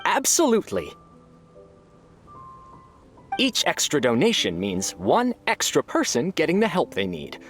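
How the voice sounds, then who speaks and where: A man's voice: on the high side, wildly swooping pitch, measured pace, long pauses, fairly bright tone, faint music behind, heavily acted. A man voicing a sincere, generous shopkeeper in a video game, speaking earnestly. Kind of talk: animated video game acting.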